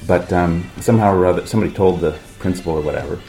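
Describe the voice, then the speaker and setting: A deep, mellow-toned man hosting a podcast, his voice roughened and gravelly from a cold. He chats easily with his co-host, laughs often and slips in small self-mocking jokes. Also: racing, soft background music. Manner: chatty, laughs often, light self-mockery. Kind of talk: casual chat.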